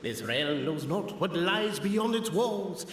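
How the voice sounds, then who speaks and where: An actor playing an excitable, grandiose bard-like clan historian in a fantasy video game, in an echoey hall. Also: male voice, high-pitched, takes it slowly. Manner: grandiose, declaiming. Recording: echoey hall reverb with music.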